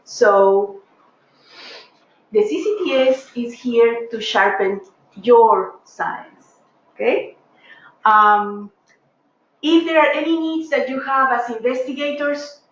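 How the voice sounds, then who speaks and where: A woman's voice: on the high side, takes it slowly, pauses often. A middle-aged woman from Peru with a Peruvian Spanish accent, giving an earnest academic lecture with frequent ums and restarts. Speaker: professor, lecturer. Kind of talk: academic lecture to a seminar room. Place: lecture room. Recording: echoey room, dull lo-fi sound.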